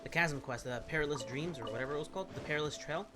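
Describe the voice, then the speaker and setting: A man's voice: on the high side, very fast, music in the background. A man doing a casual game playthrough, reading dialogue aloud and commenting on himself as he stumbles over words. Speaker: game streamer reading along.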